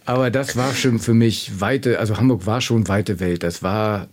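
A man's voice: lowish voice, moderate pace. A man from Germany's Münsterland, chatting and reminiscing as an interview guest in a casual, self-deprecating way. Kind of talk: casual storytelling.

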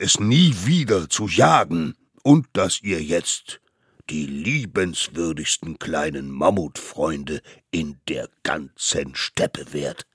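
A man voicing three stern old mammoth judges who speak slowly and pompously. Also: rumbling low, a bit bright, breathy edge, no echo, studio quality, heavily acted.

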